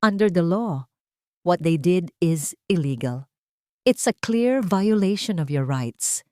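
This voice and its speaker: Woman voicing an authoritative woman lawyer, forties, measured. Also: fairly low pitch, animated, a little mellow, dry recording, clean studio sound. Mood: businesslike. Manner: formal, measured. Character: authoritative, sharp yet kind woman lawyer in her forties.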